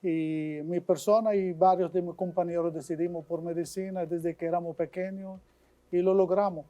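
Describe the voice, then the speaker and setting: A man from Syria with a Syrian accent, speaking Spanish as a guest surgeon in an earnest, measured way with small restarts. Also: sits high, steady tone, mellow, highs cut off. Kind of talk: interview answers.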